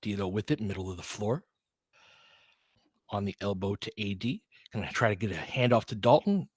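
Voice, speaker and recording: A man's voice: on the low side, moderate pace, slightly rough, no room sound. A man giving informal live basketball play-by-play as a partisan commentator who urges on the home team.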